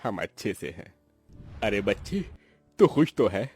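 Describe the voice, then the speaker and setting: A man's voice: lowish voice, very animated, a little slow, darkish tone, husky, faint music behind, exaggerated voice. A man voicing a poor, proud and pious older uncle in a sentimental cartoon drama, speaking earnestly. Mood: polite, reserved. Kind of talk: melodramatic cartoon voice acting.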